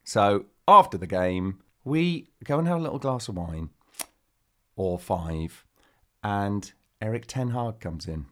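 A man in his forties, a podcast co-host, telling an animated story in casual chat and acting out what other people said. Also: fairly low pitch, slow.

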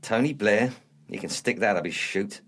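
An actor playing a bitter middle-aged ex-punk with a London accent, blunt, slangy and grumbling. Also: male voice, lowish voice, normal speed, a bit bright. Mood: apologetic, subdued. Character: bitter, blunt washed-up male ex-punk, quick to bristle. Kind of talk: naturalistic dark comedy dialogue.

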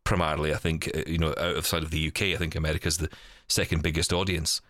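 A man's voice: rumbling low, little pitch movement, fast, a bit bright, no room sound, clean studio sound. A man hosting a podcast in casual chat, rambling and repeating words as he goes, with a teasing, jokey streak. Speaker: podcast host.

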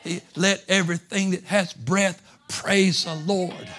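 A man preaching a sermon in a slightly high voice. He talks in a folksy, repetitive way, moving from soft conversational stretches into loud, fervent preaching. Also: fairly even intonation, measured pace, faint music behind. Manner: folksy, repeats phrases. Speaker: pastor.

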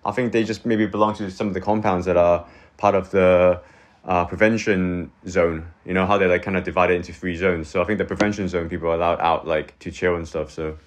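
Laid-back guy in his 30s from hong kong, deepish voice, keeps saying like and you know. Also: a little fast. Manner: relaxed, rambling, many fillers like "like" and "you know". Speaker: guest. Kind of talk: casual chat.

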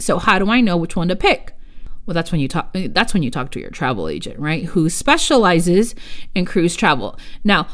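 A woman hosts her own travel podcast, talking to her listeners in a chatty solo monologue. She is an experienced travel agent, keeps calling her audience "you guys", and sometimes wanders off on a tangent before coming back. Her voice sits at an ordinary middle pitch for a woman. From United States. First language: Spanish. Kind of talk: casual solo podcast talk.

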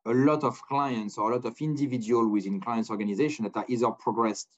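A man hosts a business podcast, speaking at a middling pitch. He rambles, doubles back and restarts his sentences often. The audio sounds dull and lo-fi. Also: steady tone, fast talker. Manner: rambling, many restarts, non-native phrasing. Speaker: podcast host. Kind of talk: casual business interview.

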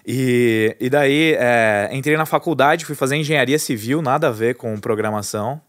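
A man from Brazil in his thirties, speaking as a podcast guest. He sounds relaxed and conversational, often restarting his sentences. His voice is recorded close up in a dry, quiet room and sits at a middle pitch. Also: moderate pace, no room sound. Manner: relaxed and chatty, with frequent fillers and self-correcting restarts. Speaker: guest, entrepreneur. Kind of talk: casual storytelling interview.

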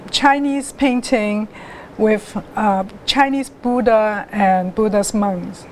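A middle-aged woman, telling her life story as a guest artist in an interview, haltingly, with many restarts and pauses. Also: mid-range voice, a little hiss or hum, rough recording. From Hong Kong.

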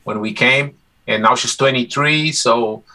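A man in his forties from Brazil whose first language is Portuguese, talking casually as an interview guest about his life as a musician. He is relaxed and chatty, restarts his sentences often and laughs easily. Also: medium pitch, unhurried.

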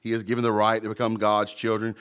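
A man's voice: on the low side, completely flat delivery, fast, a bit bright, clear, resonant tone. A man preaching a sermon with emphasis, calling out to the congregation and joking about his own mumbling. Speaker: pastor.

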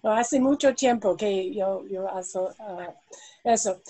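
A woman hosting an interview in Spanish, a language she is still learning, speaking slowly with many hesitations. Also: medium pitch, dark tone, dry recording, poor audio. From India. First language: not Spanish. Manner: slow, hesitant, many ums and ahs. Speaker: host. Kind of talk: interview, reading prepared questions then chatting.

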